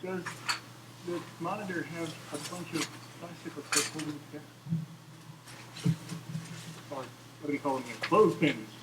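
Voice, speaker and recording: A man's voice: fairly high pitch, steady tone, moderate pace, long pauses, far from the mic. A man co-hosting a livestream with casual, wry, joking banter and repetitive clowning, on noisy audio. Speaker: co-host of a live game preview stream.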